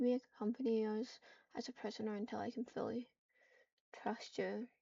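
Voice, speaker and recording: A stiffly formal, cautious cat medic from a game roleplay, played by a woman, speaks politely and a little awkwardly in a mellow voice. The recording is dull and distant. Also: a little high, little pitch movement, moderate pace, gravelly, slightly rough.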